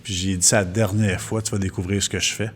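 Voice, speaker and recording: A man with a Quebec French accent and a husky voice, casually telling absurd anecdotes on a comedy podcast. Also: low voice, flat delivery, fast, a crowd in the background.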